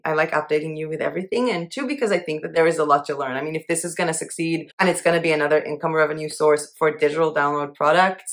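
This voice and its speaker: Casting: young woman, low-pitched voice, relaxed chatty vlog delivery, upbeat and self-mocking. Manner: chatty, upbeat, laughs at herself. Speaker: channel host. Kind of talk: casual monthly goals update to camera.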